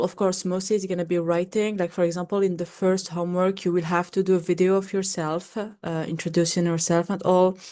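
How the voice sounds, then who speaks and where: A woman's voice: lowish voice, darkish tone. A French-woman, introducing an online class in a chatty way that is full of "like" and "okay?". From Algeria. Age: 20s. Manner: chatty, fills with "like" and checks in with "okay?". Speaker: French teacher.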